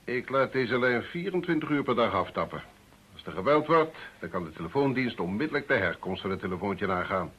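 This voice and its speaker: A man's voice: on the low side, lively intonation, a little fast, a bit bright, husky. An actor playing a confident, condescending male police inspector in a radio drama. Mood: self-assured. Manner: assured, condescending. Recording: muffled old radio drama recording.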